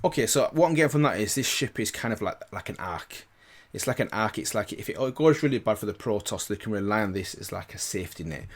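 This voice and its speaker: A man's voice: lowish voice, expressive, fast talker. A man hosting a reaction video with casual commentary, thinking aloud and repeating himself.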